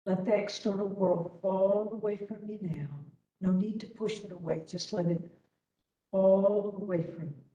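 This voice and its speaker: A woman leading a guided meditation as instructor, gently and unhurriedly, leaving long pauses between phrases. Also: mid-range voice, steady tone, highs cut off. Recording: roomy, distant webinar audio.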